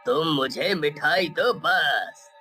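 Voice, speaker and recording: A greedy male graveyard ghost from a horror-comedy cartoon, played by a woman. The voice is sharp and forceful, stretching words and hums slowly over faint music. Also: sits low, heavily acted. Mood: pleased. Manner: drawn-out hums and gloating laughs. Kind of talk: animated horror-comedy storytelling.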